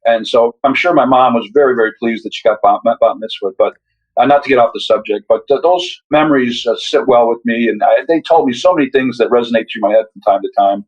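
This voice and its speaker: An older man shares his memories in a loose, rambling way, often restarting his sentences. His pitch sits in the middle range. The audio is a bit dull and lo-fi. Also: quick pace, slightly rough. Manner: rambling, with many restarts and reflective asides. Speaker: guest author. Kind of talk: casual interview conversation.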